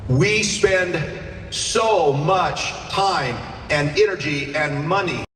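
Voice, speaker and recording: Motivational guy talking slow and high, far off in a big echoey hall, faint noise. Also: a bit bright. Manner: slow, emphatic, preachy. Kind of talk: motivational speech. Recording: distant, echoey hall clip.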